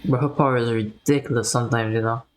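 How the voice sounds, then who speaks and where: A man's voice: mid-range voice, fairly even intonation, fast. A man giving casual, laid-back playthrough commentary on a video game in brief offhand remarks. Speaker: game streamer.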